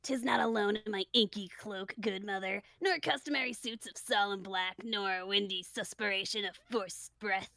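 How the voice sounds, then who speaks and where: A woman voicing a brooding young prince in a comic Shakespeare read-aloud, with drawn-out delivery. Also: medium pitch, sharp tone, no echo. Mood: brooding, wry. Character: brooding young Danish prince Hamlet, played for laughs.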